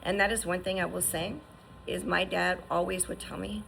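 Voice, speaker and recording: A woman's voice: lowish voice, flat delivery, moderate pace, vocal fry, a bit bright, slight background noise, low-quality recording. A woman in her fifties giving an earnest, encouraging personal testimony, often restarting and asking "right?". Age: 50s. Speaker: survivor sharing her story.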